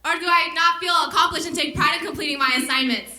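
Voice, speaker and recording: A teenage girl declaims a spoken word piece on a theater stage, her voice very high and piercingly bright. She holds a handheld mic, and the roomy sound of the hall hangs around every line. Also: fast. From United States. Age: teens. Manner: declamatory, emphatic. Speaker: student performer.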